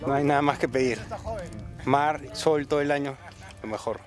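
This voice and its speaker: A man's voice: medium pitch, steady tone, normal speed. A man answering casually in an outdoor interview. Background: loud music.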